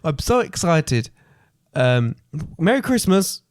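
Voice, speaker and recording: A man's voice: a little high, very animated, slow pace, dry recording, studio quality. Bumbling, flippant pantomime Scrooge, played by a man. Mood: cheerful. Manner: hesitant, improvising, flippant. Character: bumbling, clueless, cheerful comic Scrooge. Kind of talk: ad-libbed comic pantomime.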